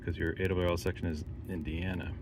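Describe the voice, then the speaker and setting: A man's voice: low-pitched, flat delivery, brisk. A man giving a casual, unscripted tutorial, thinking aloud as he explains things informally step by step. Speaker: amateur radio operator demonstrating contest setup. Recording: noisy vehicle interior, clear background noise.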